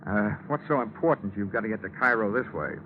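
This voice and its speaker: A man's voice: lowish voice, fairly quick, background noise, lightly acted. An actor with an American accent playing a tough, sardonic male investigator who narrates in a 1940s radio detective drama. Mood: sardonic, stern. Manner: hard-boiled, sardonic. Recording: dull 1949 radio recording, music behind.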